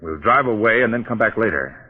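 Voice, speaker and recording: An actor playing a calm, deliberate, commanding police detective in a 1940s radio drama. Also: male voice, lowish voice, slightly rough. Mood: pondering. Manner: polite, deliberate, authoritative. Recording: dull, lo-fi 1940s radio recording.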